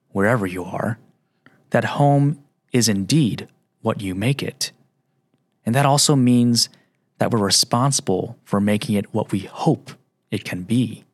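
A man hosting a radio show, narrating in a slow, measured, reflective way; his first language is Mandarin. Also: lowish voice, no room sound.

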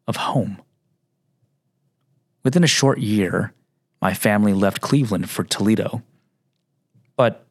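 A man hosting a radio show, narrating in a slow, measured, reflective way; his first language is Mandarin. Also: on the low side, dry recording.

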